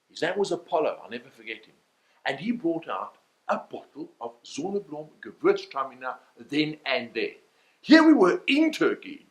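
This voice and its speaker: A man's voice: a little high, fairly bright tone. A man with a South African accent gives a motivational talk, telling stories in a theatrical, emphatic way with rhetorical questions. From South Africa, Cape Town. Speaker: motivational keynote speaker. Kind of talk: animated storytelling sales talk. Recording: roomy, echoey sound.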